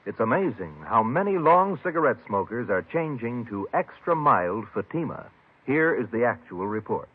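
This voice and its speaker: A man delivering an emphatic, scripted 1950s radio advertising pitch as commercial announcer. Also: big pitch swings, normal speed, husky, dry recording. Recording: muffled old radio recording, faint music.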